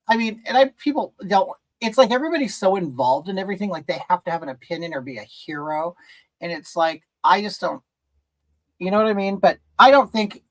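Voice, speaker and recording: A man's voice: high-pitched, low-quality recording. Rambling man chatting while doing makeup. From rural California. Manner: rambling and hesitant, many restarts, reading and answering chat. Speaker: livestream host. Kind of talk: casual chat with viewers while doing makeup.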